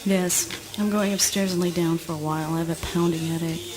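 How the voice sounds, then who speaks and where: An actress as a worn-out mother in a spoken word play. Her voice sits a little low, and she speaks in a weary, placating way. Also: fairly even intonation, a little fast, close to an everyday voice, low-quality recording.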